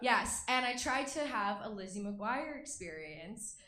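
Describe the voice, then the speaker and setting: A woman's voice: fairly high pitch, animated, unhurried, reverberant, a crowd in the background. A young woman from Russia doing a stand-up comedy set, bubbly and self-mocking, laughing between her jokes. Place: comedy club stage.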